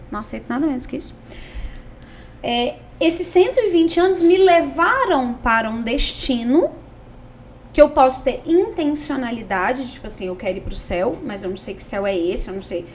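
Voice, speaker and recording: High-voiced woman, blunt and joking. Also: a little hiss or hum. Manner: blunt, joking, self-mocking, slangy. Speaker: podcast host. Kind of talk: casual interview chat.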